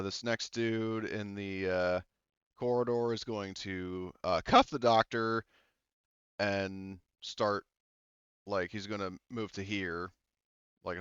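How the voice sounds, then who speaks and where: Man, low voice, clear tone, casual halting game-master narration, lo-fi dry recording. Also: fairly bright tone, dry recording. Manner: halting, thinking aloud. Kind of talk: running a tabletop roleplaying game, casual.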